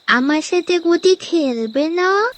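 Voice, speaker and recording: A woman plays an eager little village boy in a cartoon folk tale. The voice is high and childlike, and the words come very slowly, stretched into coaxing, pleading questions. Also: expressive, exaggerated voice. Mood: pleading, playful. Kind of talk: animated story character dialogue.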